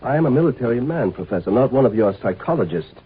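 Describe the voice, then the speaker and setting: An actor playing a curt, commanding galactic marshal in a 1950s radio drama, impatient and authoritative. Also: male voice, medium pitch, big pitch swings, quick pace, breathy edge. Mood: brusque, impatient. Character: brusque, commanding male galactic military marshal. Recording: noisy, tinny 1950s radio recording.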